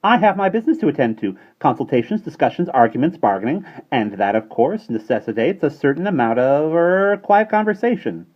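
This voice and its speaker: A man voicing a shrill, cheeky, chattering male starling in a storybook reading, loud and pompous, on a dull lo-fi recording. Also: sing-song, fairly quick, hardly any pauses.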